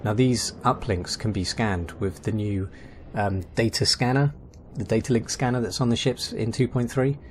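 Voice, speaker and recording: A man's voice: lowish voice, steady tone, normal speed, hardly any pauses, a little hiss or hum. A man giving casual guide commentary for a video game, as the host of a gaming video.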